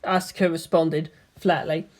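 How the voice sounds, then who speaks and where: Low-voiced woman narrating a story. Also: little pitch movement, a little slow, a little mellow. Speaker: narrator reading fan fiction aloud. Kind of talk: scripted narration.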